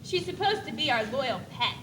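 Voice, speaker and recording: A perky, cheeky little doll girl played by a young woman teases in an amateur stage comedy. The voice is extremely high and far from the microphone, in an echoey hall. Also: very animated, normal speed, noisy background. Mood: perky teasing. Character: perky, teasing baby doll sister.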